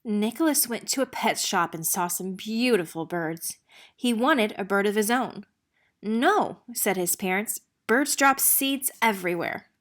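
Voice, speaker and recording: A woman's voice: mid-range voice, normal speed. Woman reading a children's picture book aloud. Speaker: read-aloud narrator.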